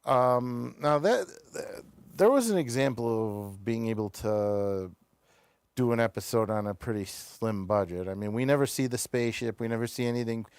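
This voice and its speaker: Clear, smooth-voiced man reviewing television casually. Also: medium pitch, moderate pace, darkish tone, no echo. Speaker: show host reviewing television episodes.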